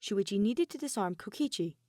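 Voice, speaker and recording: A woman narrates scripted fiction with lively, swinging intonation. Her phrasing is deliberate and dramatic, broken by pauses. The sound is close and dry, with an ordinary female pitch. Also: dry recording. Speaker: podfic reader.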